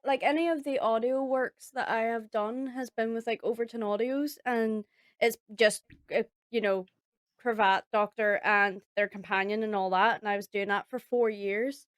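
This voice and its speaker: Slightly high-voiced woman, dry recording. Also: steady tone, normal speed, no room sound. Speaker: audio drama actress, guest. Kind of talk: casual chat.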